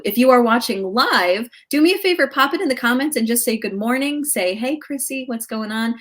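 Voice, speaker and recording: A woman's voice: on the low side, a bit bright. A woman hosting a live local talk show in an upbeat, chatty, enthusiastic and conversational way.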